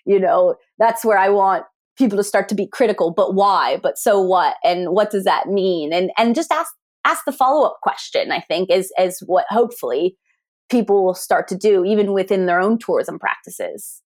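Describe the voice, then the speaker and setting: A woman's voice: medium pitch, expressive, moderate pace, darkish tone. An American woman, a tourism academic, talking through ideas in an interview, often posing rhetorical questions and restarting phrases. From United States. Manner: thoughtful, asks rhetorical questions, frequent restarts. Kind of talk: conversational interview.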